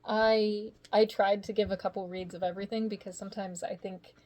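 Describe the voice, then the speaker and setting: A woman's voice: medium pitch, level tone, fairly quick, warm tone. A woman voice actor chatting casually on a video call, thinking aloud about recording her lines. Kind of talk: casual group interview.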